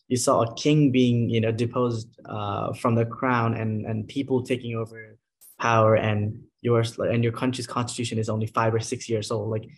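A man in his twenties from Nepal, speaking as a guest on an interview show. He talks in an animated way, piling up "like" and restarting his sentences. His voice sits at an ordinary mid pitch. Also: moderate pace. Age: 20s. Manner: animated storytelling with many "like" fillers and restarts. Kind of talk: casual interview conversation.

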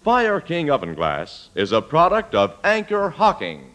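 Animated male radio announcer. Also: a little high, unhurried, faint music behind. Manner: polished, anecdotal salesmanship. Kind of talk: 1940s radio commercial, scripted pitch.

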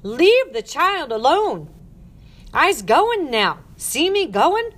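A woman's voice: lowish voice, expressive, normal speed. Woman acting a protective old Southern woman, folksy. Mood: firm, protective. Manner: soothing, folksy dialect, firm when protecting. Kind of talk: audiobook character voice.

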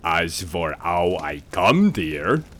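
A man voicing a gruff German-accented spirit. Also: low voice, sing-song, slow, a little hiss or hum, voice pushed down, exaggerated voice. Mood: insolent. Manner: broken English, blustering, bossy. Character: gruff insolent male spirit, squat and quarrelsome. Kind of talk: audiobook character voice.

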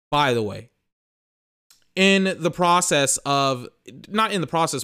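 Smooth-voiced man ranting about football. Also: mid-range voice, a bit bright. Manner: rambling, emphatic, restarts phrases, swears. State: a little tired. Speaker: sports podcast host. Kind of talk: casual ranting commentary.

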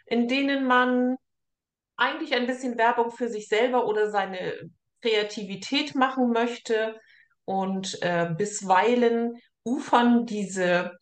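A woman with a slightly high voice interviews a guest in a casual, conversational way. The sound is very roomy, with clear echo around her voice.

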